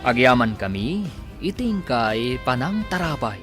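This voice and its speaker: A man's voice: mid-range voice, very animated, a little slow. A man announcing a radio drama in a dramatic, scripted Ilocano delivery. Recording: radio announcement over guitar music.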